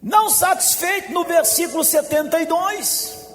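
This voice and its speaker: A man, preaching a sermon as a pastor. He swings from shouts to quiet asides and jokes. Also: very high-pitched, moderate pace. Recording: long hall reverb with faint music.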